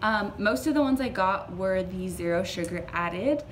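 A woman's voice: mid-range voice, expressive, normal speed, slight background noise. A young woman in her twenties chatting casually about her grocery haul, upbeat and full of little fillers, recorded at home on a close camera. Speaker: vlogger. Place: small indoor room at home.

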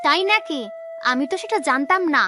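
High childish friendly playmate. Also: female voice, expressive, fairly quick, music in the background. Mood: curious, friendly. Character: friendly, curious young village girl, kind playmate. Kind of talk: cartoon voice acting.

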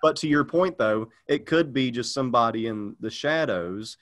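A man's voice: mid-range voice, normal speed, a bit bright. A man chatting casually on a podcast, rambling with lots of fillers and restarts. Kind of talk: casual chat.

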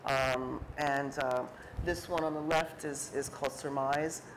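A woman's voice: very deep voice, measured pace, background noise. Chatty middle-aged woman on a panel stage. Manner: relaxed and chatty, many fillers and restarts, acts out quoted remarks. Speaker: artist speaking on a panel. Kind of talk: conversational talk on stage. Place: stage at an art fair talk, indoors before an audience.

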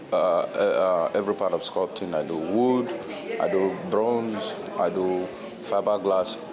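Low nigerian guy, artist interview, repeats himself, roomy echo and people talking. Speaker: sculptor being interviewed. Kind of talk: spontaneous interview. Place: indoor art gallery. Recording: echoey room with people chattering.

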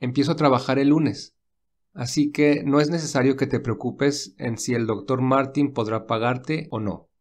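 A man voicing a calm, protective young man. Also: mid-range voice, moderate pace, natural voice. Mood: calm caring. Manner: calm, reassuring. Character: gentle, protective young man caring for his companion. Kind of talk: audiobook dialogue reading.

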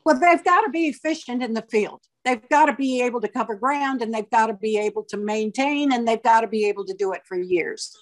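A woman's voice: fairly high pitch, fairly quick, few pauses. A woman on a video call panel giving matter-of-fact opinions on breeding, with some hesitant restarts.

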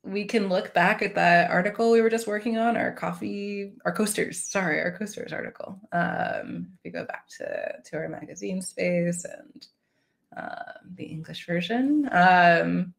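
A woman in her thirties presenting a software demo in a conversational way on a video call. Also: lowish voice, a little slow, vocal fry. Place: small indoor room on a video call.

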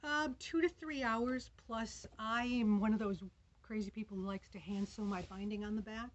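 A woman's voice: fairly high pitch, steady tone, moderate pace. An older woman talks through a quilting demonstration in a friendly, enthusiastic way. She stands at a cutting table in a fabric store aisle. The recording sounds slightly dull and lo-fi. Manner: chatty and enthusiastic. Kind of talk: casual product demo. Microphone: camera in front at table.

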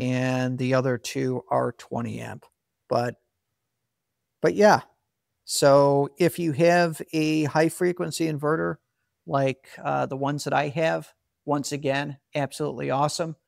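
Gravelly man explaining his workshop gear. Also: medium pitch, darkish tone, no echo, studio quality. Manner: plainspoken, practical, with frequent fillers and restarts. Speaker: solar equipment channel host. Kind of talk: casual explainer, talking to camera. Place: indoor garage workshop.

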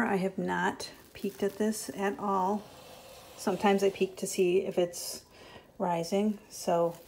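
A woman's voice: medium pitch, creaky, darkish tone, roomy sound, a little hiss or hum. A woman hosting a home baking video, chatting to viewers as she shows each step in her kitchen. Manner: chatty and encouraging, talks to viewers as "you guys". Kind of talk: casual step-by-step baking demo.

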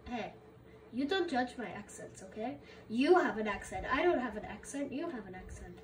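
A woman's voice: fairly high pitch, moderate pace, far from the mic, natural voice. A woman voicing a boastful alien who speaks of itself in the third person, with a Russian accent. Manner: refers to self in third person, proud and deadpan. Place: bedroom with a computer.

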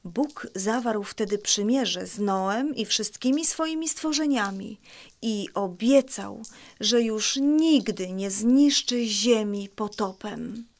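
A woman playing a patient mother who explains a Bible story to children in a children's audio drama, reassuring and clear. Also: medium pitch, animated, slow pace, no room sound. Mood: reverent, assured.

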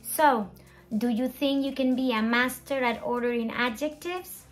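A middle-aged woman presenting an English grammar lesson as a teacher, expressive and emphatic, with deliberate enunciation and rhetorical questions. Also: sits high, soft background music. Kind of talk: animated scripted grammar lesson. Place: small indoor room at a whiteboard.

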